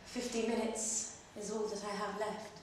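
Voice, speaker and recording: A woman reads a first-person short story aloud with expressive, measured phrasing. Her medium-pitched voice comes from some distance in a very echoey room, with faint noise behind it. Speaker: story reader performing at a literary festival. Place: live reading in a library. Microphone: distant microphone.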